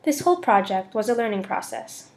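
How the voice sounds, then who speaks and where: A woman's voice: medium pitch, expressive, brisk. Student presenter, young American female, mid-range voice, scripted slideshow narration. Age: young adult.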